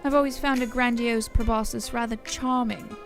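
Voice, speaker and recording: A pampered, lazy princess, as a woman narrator puts her on for an audio story. She speaks with playful haughtiness in a raised pitch, with clear music underneath. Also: steady tone, moderate pace, natural voice. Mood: sleepy, flirtatious. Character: lazy, giggly, hedonistic princess with sleepy airs.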